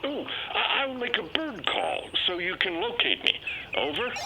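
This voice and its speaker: An actor playing an excitable, awkward fireman who calls hesitantly over a walkie-talkie radio. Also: very high-pitched, moderate pace, vocal fry, heavily acted. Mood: awkwardly urgent. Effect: walkie-talkie radio filter. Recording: crackly walkie-talkie with faint noise.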